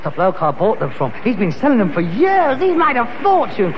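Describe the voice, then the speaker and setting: A man's voice: high-pitched, huge pitch swings, moderate pace. An actor playing an aspiring, defensive rag and bone man son with a London accent in a vintage British radio sitcom, indignant and pleading. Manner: indignant, wheedling. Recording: muffled noisy old radio recording, audience.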